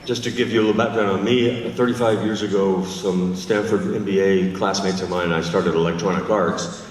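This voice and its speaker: Older male speaker, very deep voice, measured, distant mic, very echoey hall. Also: fairly even intonation, slight background noise. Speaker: panel speaker. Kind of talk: conference talk.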